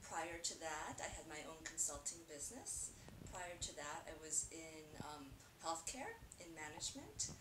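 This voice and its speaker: A woman's voice: sits low, big pitch swings, normal speed, hardly any pauses. A middle-aged woman who grew up from early childhood in the United States after moving from Korea, chatting as a podcast guest with many hesitant fillers. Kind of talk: casual interview. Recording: roomy, distant mic with noise.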